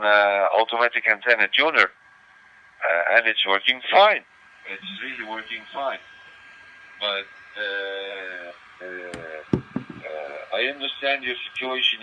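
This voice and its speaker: A man's voice: fairly low pitch, clean tone, no echo. A man chatting casually over amateur radio, hesitating and repeating his phrases. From Lebanon. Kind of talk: casual ham radio chat. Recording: dull lo-fi digital radio transmission.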